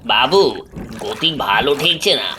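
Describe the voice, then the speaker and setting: A man's voice: mid-range voice, very animated, measured pace. An actor playing a humble, worried river boatman in a horror audio drama, deferential and rustic. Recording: noisy, with water and rowing sounds.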